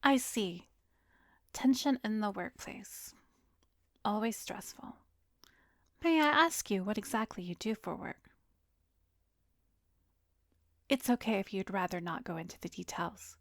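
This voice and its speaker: A woman playing a composed, soothing therapist in an ASMR roleplay, speaking gently and measuredly, often slipping into a breathy whisper, close to the mic. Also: medium pitch, no room sound, natural voice. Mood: calm, reassuring. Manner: measured, gentle, professional, probing. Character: composed, soothing female therapist, secretly an arrogant vampire. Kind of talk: ASMR roleplay, addressed to the listener. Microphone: close studio microphone, dry.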